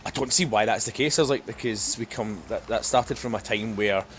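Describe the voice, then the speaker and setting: A man's voice: lowish voice, brisk, a bit bright, slight background noise. A man in his thirties co-hosting a casual podcast, rambling through jokey, opinionated, sweary banter. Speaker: podcast co-host.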